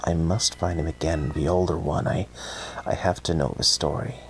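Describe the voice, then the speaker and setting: A man's voice: very deep voice, flat delivery, normal speed, creaky, a little hiss or hum, low-quality recording. A man playing an anxious, obsessive young horror fan in a found-footage audio drama, speaking in a hesitant, rambling way. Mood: reflective, rambling.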